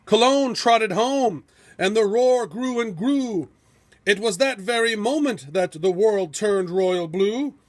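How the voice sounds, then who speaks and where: Male storybook narrator, high register, unhurried delivery, dramatic and measured, suited to heroic verse. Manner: theatrical, measured, epic storytelling. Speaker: storybook reader.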